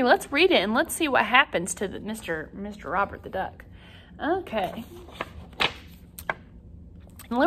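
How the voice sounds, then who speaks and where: A woman with a high voice teaches music to young children online. Her delivery is expressive, with lively, wide swings in pitch as she talks to the class and reads stories aloud. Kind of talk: children's online lesson and story read-aloud.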